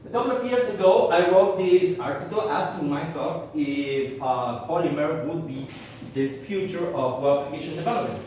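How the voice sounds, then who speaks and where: A man from Argentina giving a conference tech talk to an audience in English as a second language, halting, with many fillers and restarts. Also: fairly high pitch, darkish tone, slight background noise. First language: Spanish. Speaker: conference speaker. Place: conference room. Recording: echoey hall, speaker far from mic.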